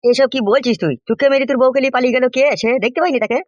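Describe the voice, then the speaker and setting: A man's voice: extremely high pitch, steady tone, rapid-fire, dry recording. A man voicing a nosy, exasperated village uncle in a comic cartoon, impatient and questioning, with a rural West Bengal accent. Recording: dull lo-fi sound.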